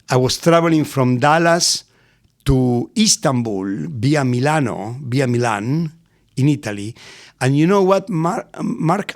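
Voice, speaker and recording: A middle-aged man from Argentina with an Argentinian accent, a guest in an interview who tells lively stories and laughs often. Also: medium pitch. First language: Spanish. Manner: animated, laughs often, acts out voices in anecdotes. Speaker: restaurant executive guest. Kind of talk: storytelling interview.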